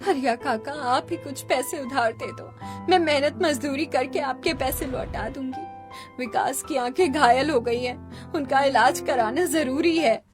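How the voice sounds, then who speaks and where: A woman's voice: high voice, measured pace, music in the background. A woman voicing a distraught, pleading poor village wife in a Hindi cartoon story. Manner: pleading, tearful.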